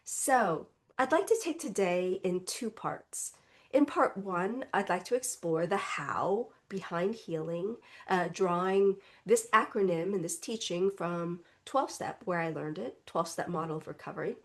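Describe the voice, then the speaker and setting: A woman reverend giving a contemplative Sunday talk, her voice congested as she recovers from illness, speaking gently and reflectively and often adding "right?". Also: on the low side, measured pace. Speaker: reverend and spiritual teacher.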